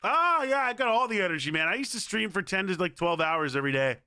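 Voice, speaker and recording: A hoarse male streamer with a pushy, forceful tone and a slightly high voice. He rambles energetically and begs his viewers, and the sound is dry and close with no room around it. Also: lively intonation, fast talker, no room sound. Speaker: game streamer. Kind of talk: casual livestream chat.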